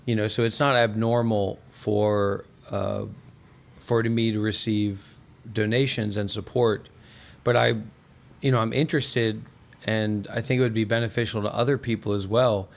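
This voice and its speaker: A man's voice: on the low side, fairly even intonation, normal speed, creaky. A man in his thirties hosting a casual livestream chat, talking in a discursive way peppered with "you know". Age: 30s. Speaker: host, former monk and content creator.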